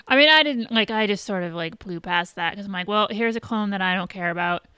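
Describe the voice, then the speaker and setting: A woman's voice: mid-range voice, brisk, pushed and bright, clear, resonant tone, no room sound. A woman co-hosting a podcast in casual conversation, blunt and informal, with some swearing. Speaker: podcast co-host. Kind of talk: casual chat reviewing a TV episode.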